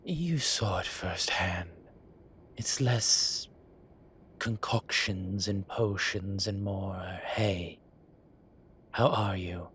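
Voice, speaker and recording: A man playing an ancient, bookish incubus demon professor in an audio roleplay, dry and exasperated, with halting, sighing delivery. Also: low-pitched, fairly bright tone, a little hiss or hum, heavily acted. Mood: wry, weary. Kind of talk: audio roleplay acting, intimate one-sided dialogue.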